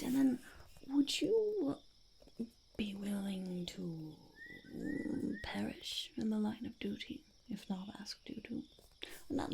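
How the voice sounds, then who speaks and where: A woman voicing a quirky, scheming goblin interviewer in a whispered ASMR roleplay, halting and muttering, often repeating phrases. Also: medium pitch, darkish tone, slight background noise. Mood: matter-of-fact, sly. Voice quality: breathy whisper with heavy creak. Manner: halting, muttering, repeats phrases, speaks of self in third person.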